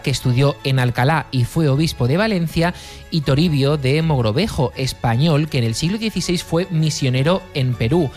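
A man's voice: mid-range voice, a little mellow. A man reading a scripted news report. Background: faint music.

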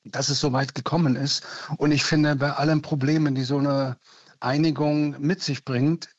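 A man's voice: a little high, steady tone, normal speed, no room sound. A man in his sixties from East Germany, calling in to a radio show and speaking earnestly, like giving a small speech. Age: 60s. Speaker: radio caller. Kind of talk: phone-in call. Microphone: phone. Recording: lo-fi dry phone line.

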